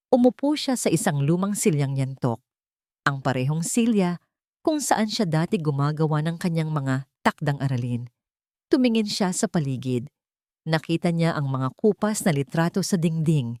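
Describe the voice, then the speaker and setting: A woman narrates a dramatic scripted story in a fairly low voice. Her delivery is solemn and measured, and the recording is close and dry, with very little room sound. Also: mellow, no echo.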